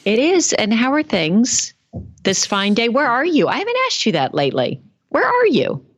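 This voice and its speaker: A woman's voice: a little high, very animated, normal speed, dry recording. A woman co-hosting a podcast, bantering in a playful, teasing way. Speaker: podcast co-host.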